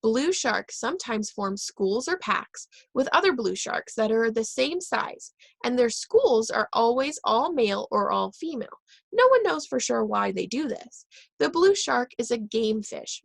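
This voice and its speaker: A woman reading a children's book aloud, pausing and correcting herself now and then. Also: fairly high pitch, big pitch swings, creaky, husky, dry recording. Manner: reads aloud with pauses and self-corrections. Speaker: reader.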